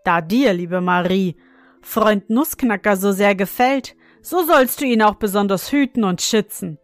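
A woman voicing a fair-minded father who calmly lectures his children. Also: mid-range voice, dry recording, faint music behind, close to an everyday voice. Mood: admonishing. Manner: measured, admonishing.

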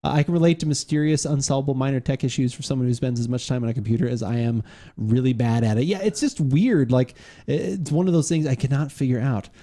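A man's voice: medium pitch, fast talker, warm tone. A man in his forties hosting a live-stream podcast from a home studio, rambling and joking with lots of fillers into a studio mic. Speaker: podcast host. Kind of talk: casual live-stream chat. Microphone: studio mic on boom arm.

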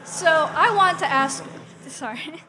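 A woman asks a casual question from the audience. Her voice is high, and it rings through an echoing hall with loud noise around it. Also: animated, slow. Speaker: audience member asking a question. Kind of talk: casual question at a live event. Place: large indoor convention hall.

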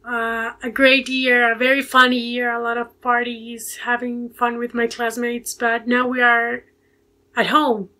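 A young woman from Ecuador in her late teens talks English with a Spanish accent, pausing and reaching for words. Her voice is bright and a little high, held in a steady, even tone. She is heard through a simple earphone mic on a video call from home. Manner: hesitant, many fillers, searching for words. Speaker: guest. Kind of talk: casual video call interview. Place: home bedroom with bookshelves.

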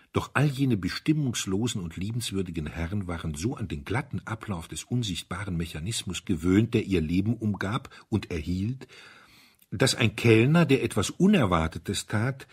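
A man narrating a literary story from a script in a measured, expressive way, with wry formality. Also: low-pitched, breathy edge. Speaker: audiobook narrator.

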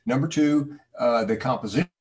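A man answering technical questions as an expert on a video call, speaking in a halting, careful way. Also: mid-range voice, over music. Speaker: expert panelist with a doctorate. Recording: distant mic on video call.

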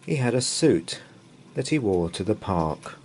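Man, bedtime storyteller, very animated pitch, unhurried, dramatic pauses, noticeable background noise. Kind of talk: read-aloud children's bedtime story. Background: open fire ambience.

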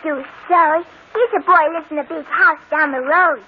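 A woman's voice: high voice, normal speed. A woman playing a stubborn, playful, whiny little girl in an old radio drama. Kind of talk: 1940s radio acting. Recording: dull, hissy old radio broadcast.

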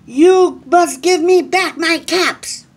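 A woman voicing a male peddler from a children's storybook, with loud, emphatic, drawn-out delivery. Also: extremely high pitch, a little hiss or hum, voice pushed up. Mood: angry scolding. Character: male cap peddler, calling wares, easily exasperated.

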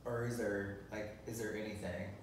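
A man's voice: fairly low pitch, fairly even intonation, normal speed, far from the mic, background noise. Rambling middle-aged man, echoey living room. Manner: rambling, many fillers and restarts. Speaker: co-host. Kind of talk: casual chat. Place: living room, seated on a leather sofa. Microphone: phone camera from across the room.

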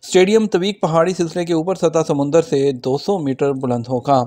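A man's voice: fairly high pitch, steady tone, moderate pace, mellow. A man reading scripted news items as a narrator for an online channel, in a formal manner.

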